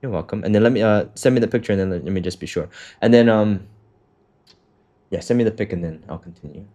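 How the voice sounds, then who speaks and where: A man's voice: fairly low pitch, fast talker, dark tone. Laid-back man walking someone through setup. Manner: loose, filler-heavy, swears casually. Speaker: trading coach. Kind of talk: casual walkthrough on a call.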